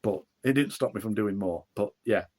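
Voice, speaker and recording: A man's voice: lowish voice, normal speed, darkish tone. A middle-aged man with a broad Yorkshire accent, an author chatting casually in an interview, rambling with fillers and restarts.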